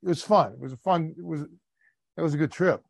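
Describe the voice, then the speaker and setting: American guy on a podcast, gravelly fry in his voice, rambles with lots of ums, dry close sound. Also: a little high, fairly quick, dry recording. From United States. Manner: rambling, with many fillers and restarts. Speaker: podcast host. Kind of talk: casual chat.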